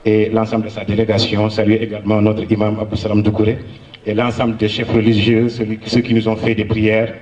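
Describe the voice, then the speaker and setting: A man's voice: on the low side, monotone, a little mellow. Distant, echoing man giving a speech. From Senegal. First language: Soninke. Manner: earnest, measured oratory with frequent hesitations. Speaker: honoree giving a public speech. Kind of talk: public speech at a local ceremony.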